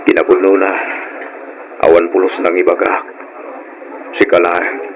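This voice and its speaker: A man's voice: low-pitched, monotone, measured pace, vocal fry, highs cut off, a little hiss or hum. A Filipino man playing a troubled, hurt, anxious husband in an old radio drama. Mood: heavy-hearted, hurt.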